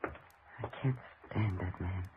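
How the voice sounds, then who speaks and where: An actress playing a bitter, grieving daughter in a 1950s radio drama, tense and dramatic. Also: female voice, extremely low pitch, slow pace. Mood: strained. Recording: tinny, noisy vintage radio recording.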